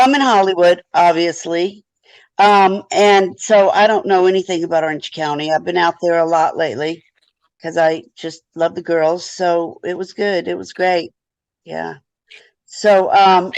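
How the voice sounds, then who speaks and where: A woman's voice: fairly low pitch, normal speed, no room sound, low-quality recording. Southern lady asking the band questions, chatty, very dry close sound. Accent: Southern American. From American South. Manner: chatty, says y'all, laughs easily. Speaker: interviewer. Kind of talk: casual interview.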